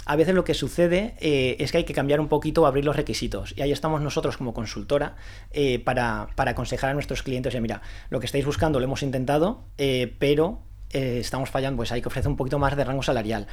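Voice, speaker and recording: Fast-talking man, guest founder. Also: medium pitch, a little mellow. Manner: fast talker with many restarts and fillers. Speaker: founder of a renewable energy recruitment consultancy. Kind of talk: casual interview answers.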